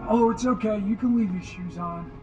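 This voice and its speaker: An actor playing an eccentric, awkward but friendly male war veteran in a video game cutscene, speaking in halting, offhand short phrases. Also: sits high, muffled recording, over music, lightly acted. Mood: upbeat, helpful.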